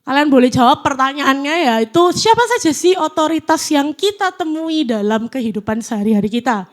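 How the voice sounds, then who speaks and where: A woman's voice: high-pitched, animated, fairly quick. A woman in her twenties giving a casual, chatty youth sermon in church, nervous and self-deprecating. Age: 20s. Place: church hall, live audience.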